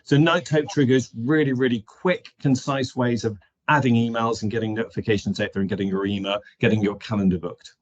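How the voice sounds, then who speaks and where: A man's voice: medium pitch, fairly even intonation, a little fast, hardly any pauses. Middle-aged man, muffled call audio. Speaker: panel guest. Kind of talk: casual explanation. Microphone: video call microphone.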